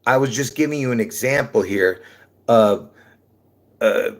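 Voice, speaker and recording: A man's voice: mid-range voice, a little slow. A man with a New York accent hosting a livestream, talking to his viewers in a rambling monologue full of fillers, restarts and repeated points, calling them folks. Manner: repetitive, restarts often, many fillers, calls listeners folks. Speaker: livestream host.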